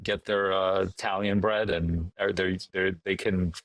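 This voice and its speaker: A middle-aged man chatting casually as an interview guest, agreeable and somewhat halting. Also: low voice, steady tone, a bit bright, dry recording. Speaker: podcast guest, finance professional. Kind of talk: casual conversation.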